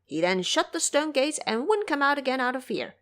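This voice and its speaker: A woman's voice: high-pitched, sing-song, brisk, a bit bright, pitched above the performer's own voice. A woman voicing a boastful, protective male monkey disciple in an audio drama, with a swaggering, emphatic delivery. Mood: boastful reporting.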